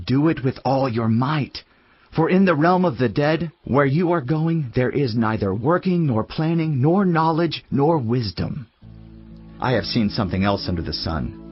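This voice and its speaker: A man playing a weary, reflective teacher of wisdom in a dramatised audio Bible, husky-voiced, with a measured, earnest, declamatory delivery. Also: medium pitch, no room sound, highs cut off. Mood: earnest, exhorting. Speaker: scripture narrator. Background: music.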